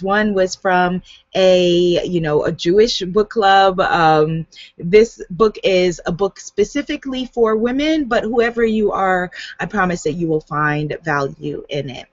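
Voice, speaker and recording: Woman author, light Guyanese lilt, lively and chatty, dull dry webcam sound. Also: medium pitch, no echo. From United States. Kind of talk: casual talk to camera. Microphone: webcam on a video hangout. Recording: dull, dry home recording.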